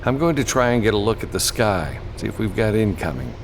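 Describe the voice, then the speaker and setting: A man voicing a gruff, terse freelance gunman in an audiobook, commanding and matter-of-fact. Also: fairly low pitch, sing-song, a little fast, long reverb tail, background noise, voice pushed up. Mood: terse, alert. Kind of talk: audiobook character dialogue.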